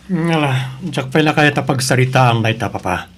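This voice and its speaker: A man's voice: mid-range voice, expressive, normal speed, natural voice. A man acting a torn, evasive young father with a hesitant, defensive manner in an Ilocano family drama. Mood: defensive, troubled. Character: torn, evasive young father named Rigor, yearning for his child.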